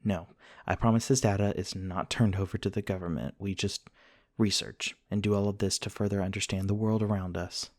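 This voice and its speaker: A man's voice: on the low side, fairly even intonation, brisk, darkish tone, no room sound, studio quality, close to an everyday voice. A man playing an earnest, formal, slightly awkward research scientist in a one-sided ASMR roleplay, speaking politely in wordy, precise sentences. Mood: earnest, reassuring.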